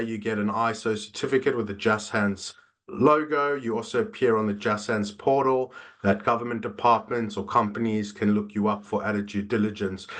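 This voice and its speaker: Man, South African accent, webinar host, video call, steady delivery, filler words. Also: fairly low pitch. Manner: measured, with frequent "uh" fillers. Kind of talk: corporate webinar presentation.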